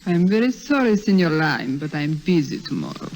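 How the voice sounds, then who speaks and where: A woman's voice: low-pitched, very animated, normal speed, creaky, darkish tone, full character voice. An actress playing a cool, elusive society woman who speaks in a curt, dismissive manner in a 1950s radio drama. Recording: faintly noisy old radio recording.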